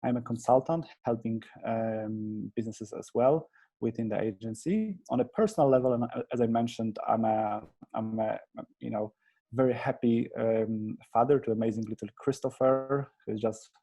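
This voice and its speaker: Soft-toned Polish man, hesitant. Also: medium pitch, normal speed. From Poland. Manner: hesitant, many restarts and fillers, earnest. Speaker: interview guest, agency founder. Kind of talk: conversational interview.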